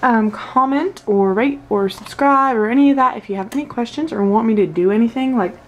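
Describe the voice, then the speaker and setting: A woman's voice: on the high side, brisk. A teenage girl casually filming a tour of her toy horse collection in her bedroom, chatty and gushing with lots of fillers. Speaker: vlogger. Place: bedroom at home. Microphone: handheld camera mic.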